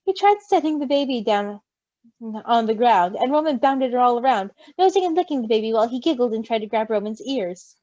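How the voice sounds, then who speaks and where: A woman reading a story aloud in an expressive, gushing, giggly way, with amused asides. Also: on the high side, fairly quick, no room sound. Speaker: fan fiction reader. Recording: dull lo-fi recording.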